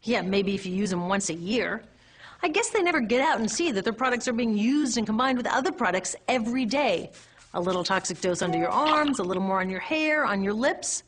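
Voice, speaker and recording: A woman's voice: mid-range voice, animated, fairly quick, a bit bright, slight background noise. A woman with an American accent presenting a scripted explainer in a conversational, wry way, often posing rhetorical questions. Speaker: narrator and presenter.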